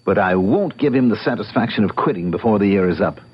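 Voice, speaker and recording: An actor playing an earnest, stubborn young American bachelor in an old-time radio drama, explaining himself emphatically. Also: male voice, lowish voice, expressive, fast, breathy edge. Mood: stubborn resolve. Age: young adult. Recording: muffled radio drama recording.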